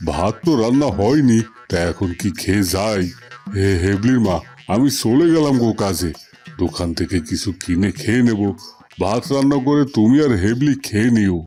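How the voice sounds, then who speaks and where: A man plays an indulgent working father from a cartoon folk tale. His voice is fairly low and placid, with folksy phrasing over faint music. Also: lively intonation, normal speed, warm tone. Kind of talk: Bengali cartoon fairy-tale voice acting.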